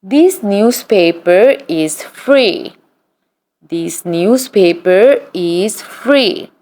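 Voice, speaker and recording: Very slow, deliberate woman reading drills. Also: mid-range voice, animated, darkish tone. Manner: deliberate, evenly spaced, each sentence read twice. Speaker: English practice reader. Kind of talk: slow read-aloud drill of practice sentences.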